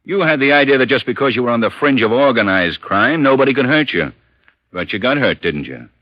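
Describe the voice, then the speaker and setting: An actor playing a stern American police chief in an old radio crime drama, questioning in a hard, scornful, insistent way. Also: male voice, medium pitch, lively intonation, a little fast, close to an everyday voice. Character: stern, needling male police chief interrogator. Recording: muffled vintage radio recording.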